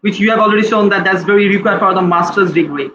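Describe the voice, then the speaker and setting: A man's voice: sits high, fast talker, bright, forceful tone, echoey room, lo-fi sound, a little hiss or hum. A man asking a tutor questions on a video call, hesitant and polite. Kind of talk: online panel talk.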